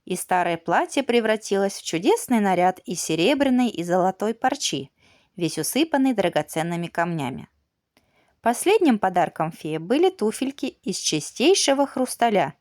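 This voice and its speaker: Expressive woman reading a children's fairy tale. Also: medium pitch, dry recording, studio quality. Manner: expressive, measured bedtime-story reading. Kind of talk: scripted audiobook narration of a children's fairy tale.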